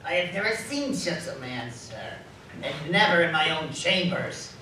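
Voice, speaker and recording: An actor playing a humbled, timid old miser in a live radio drama, speaking deferentially and haltingly. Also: male voice, fairly high pitch, expressive, pushed and bright. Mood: awed, timid. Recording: echoey hall, distant mic, background noise.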